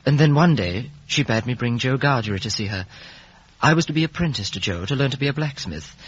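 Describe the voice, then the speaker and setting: A man playing an earnest young man in a 1950s radio drama, literary and measured, with a husky voice. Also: medium pitch. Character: earnest young man narrating his own boyhood. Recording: muffled vintage radio recording.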